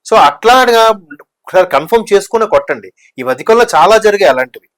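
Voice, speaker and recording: A man's voice: high voice, expressive, fast, fairly bright tone. A man giving conversational political commentary, talkative and explanatory, mixing in English words. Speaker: news discussion commentator.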